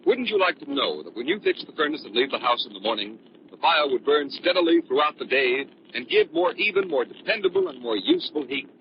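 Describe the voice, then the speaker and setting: A man's voice: on the high side, sing-song, a little fast, vocal fry. A man reading a scripted 1930s radio advertisement as announcer, emphatic and salesmanlike. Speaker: commercial announcer. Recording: muffled, faintly noisy 1930s radio recording.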